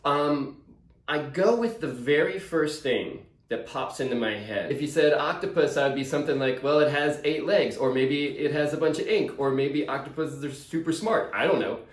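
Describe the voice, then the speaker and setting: A man's voice: a little high, moderate pace. A man speaking as a visiting author, casually and enthusiastically explaining things to schoolchildren over a video call. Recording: roomy, echoey video call.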